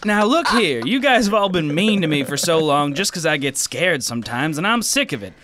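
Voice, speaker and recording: A man's voice: fairly high pitch, sing-song, fairly quick, crowd noise. A man playing a cowardly small-town western sheriff in his thirties with a folksy, defensive, profane manner in a comedic role-play. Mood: indignant.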